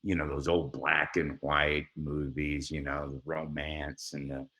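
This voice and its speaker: Deep-voiced man telling stories easily. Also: little pitch movement, measured pace, bright, forceful tone. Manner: easygoing, laughs often, many restarts. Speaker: actor guest. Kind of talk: conversational storytelling.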